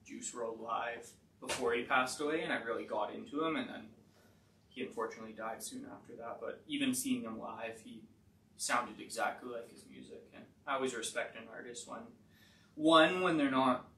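Gravelly man talking hesitantly. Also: medium pitch, normal speed, fairly bright tone. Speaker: podcast guest. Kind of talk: casual podcast chat. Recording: echoey room, distant mic, faint noise.

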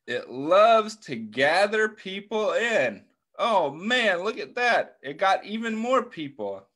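A man's voice: high voice, very animated, slow pace, pushed and bright. A middle-aged American man reading a picture book aloud to young children, encouraging them with questions and praise over a video call. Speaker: children's story time host.

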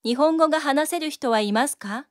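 A woman reading short phrase prompts for a language course in a neat, brisk way. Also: high-pitched, no echo, clean studio sound. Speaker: Japanese prompt narrator.

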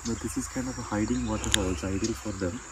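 A man guiding a walk through a forest, explaining in simple, relaxed English. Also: on the low side, fast. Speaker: forest guide. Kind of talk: guided nature walk explanation. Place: forest outdoors. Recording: loud forest insect noise. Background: loud insects and forest ambience.

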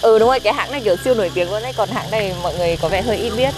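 A woman's voice: medium pitch, very fast, a crowd in the background. A young woman doing casual street interviews outdoors by a lake in a city park, chatty and coaxing, often giggling. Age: young adult.

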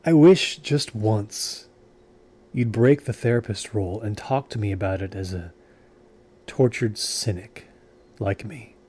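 An actor playing a haunted, obsessive, embittered man in an audio drama, speaking in a halting, deliberate way. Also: lowish voice, darkish tone, husky. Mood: bitter. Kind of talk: audio drama acting, brooding confessional storytelling.

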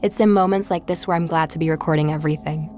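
A determined young woman investigator in an audio drama, played by an actress. Her voice sits a little low and she speaks reflectively, as if for her own podcast. Music plays clearly underneath. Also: steady tone, fast talker, a little mellow, close to an everyday voice. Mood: reflective narration. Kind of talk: audio drama, conversation and first-person narration.

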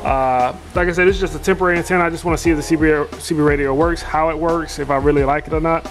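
Casual DIY guy, fairly high voice, filler-heavy self-corrections, phone-recorded in a truck, music in the background. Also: fairly even intonation, very fast. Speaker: DIY vlogger installing a CB radio. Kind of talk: casual how-to narration while working. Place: inside a pickup truck cab and garage.

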